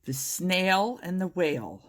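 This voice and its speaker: A woman reading a children's picture book aloud in an expressive, drawn-out storytelling manner. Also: fairly low pitch. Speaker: storytime reader.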